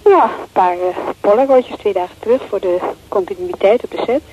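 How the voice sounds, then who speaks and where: A woman's voice: medium pitch, brisk, a little mellow, natural voice. An actress in a radio play as a chatty, teasing, scheming female office associate with a playful manner. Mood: probing, defensive. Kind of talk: Dutch radio play acting. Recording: dull lo-fi telephone scene, faint noise.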